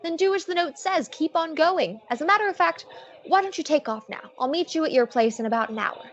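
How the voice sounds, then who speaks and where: A woman playing a sassy, quick-witted female private detective in an old-time radio drama, wry and brisk. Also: high-pitched, animated. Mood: brisk, confident. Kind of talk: old-time radio drama acting with first-person narration. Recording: dull lo-fi audio.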